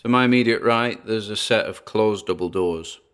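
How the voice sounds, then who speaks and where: A man's voice: lowish voice, moderate pace, fairly bright tone, smooth, lightly acted. A man with a British accent playing a wary, chatty paranormal investigator narrating his exploration in a podcast-style audio drama, with theatrical asides. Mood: chatty, wry.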